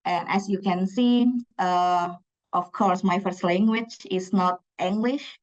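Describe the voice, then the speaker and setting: A woman's voice: on the low side, fairly even intonation, takes it slowly, muffled recording. A young woman from Indonesia with an Indonesian accent, a PhD student presenting at a conference, speaking with frequent fillers and restarts. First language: Javanese. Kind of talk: conference talk. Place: conference room.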